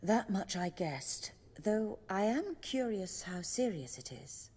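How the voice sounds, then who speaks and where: A composed, shrewd woman running a fantasy game theatre troupe: mid-pitched, formal, with long reverb. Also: very animated, slow pace, a little mellow, slightly rough, heavily acted. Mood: courteous, curious. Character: poised, shrewd female leader of a theatre troupe. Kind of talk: video game dialogue acting.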